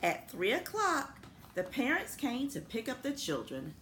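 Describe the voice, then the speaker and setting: Woman, storybook reader for children, unhurried and lively pitch, roomy sound with some noise behind. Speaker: school principal reading a picture book to students. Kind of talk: expressive children's storybook reading. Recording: echoey room with noticeable background noise.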